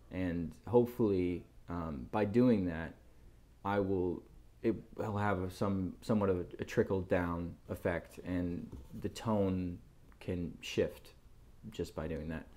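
Male actor in interview mode, lowish pitch, casual and halting, restarts phrases as he reflects. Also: mellow. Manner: halting, casual, repeats himself. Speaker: lead actor in an indie film.

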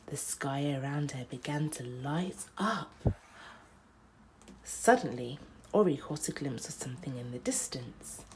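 A young woman narrating a children's bedtime picture book aloud, with deliberate, dramatic phrasing. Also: very deep voice, animated, background noise.

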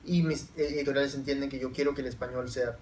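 A man's voice: medium pitch, steady tone, fast, a little mellow. Peruvian man at a panel, roomy and distant. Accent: Peruvian Spanish. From Peru. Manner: thoughtful, many restarts and fillers. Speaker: panel guest writer. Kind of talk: panel discussion answers. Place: university lecture room, seated at panel table. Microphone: table stand mic heard from far camera. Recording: roomy, distant, faint hiss.

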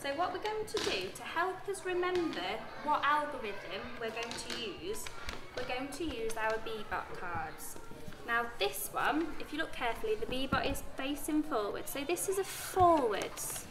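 A woman's voice: high voice, very noisy. A British woman, a primary school teacher, explains things step by step to young children with plenty of questions. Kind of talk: interactive classroom lesson with young children. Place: indoor classroom with wooden floor. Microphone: camera a short distance away. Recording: echoey classroom, picked up at a distance.

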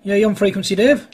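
A man's voice: sits high, slightly rough. A man chatting casually on amateur radio, rambling and hesitant as he goes. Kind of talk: casual two-way radio chat. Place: indoors at a home radio station.

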